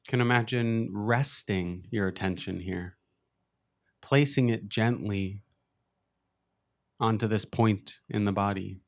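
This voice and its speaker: A man leading a guided meditation as a teacher, speaking gently and unhurriedly in short phrases with long pauses. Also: on the low side, steady tone.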